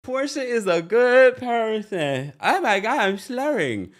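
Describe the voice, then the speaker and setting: Male livestream host, casual and playful, highly animated delivery, exclamatory gossip commentary. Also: slow pace. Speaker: host of a reality TV recap show.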